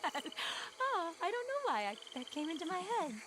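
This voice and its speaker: A woman's voice: extremely high pitch, very animated, brisk, background noise. An actress voicing a prim woman naturalist in a game, with a proper, lilting manner that slips into recited verse. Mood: whimsical. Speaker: game character. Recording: game audio with clear outdoor ambience.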